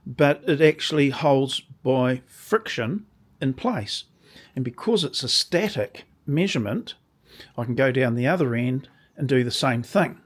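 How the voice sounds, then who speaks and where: This is a man with a slightly croaky voice, walking through a workshop build in a relaxed, unhurried way. He speaks at a slow pace, wanders mid-sentence and restarts his thoughts. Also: mid-range voice. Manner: rambling, restarts sentences while explaining. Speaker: woodworking channel host. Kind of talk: casual workshop demonstration.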